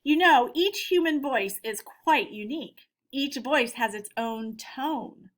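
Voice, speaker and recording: A woman's voice: sits high, slow. An American woman teacher giving an instructional read-aloud for children, putting simple questions to her pupils. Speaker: elementary school teacher.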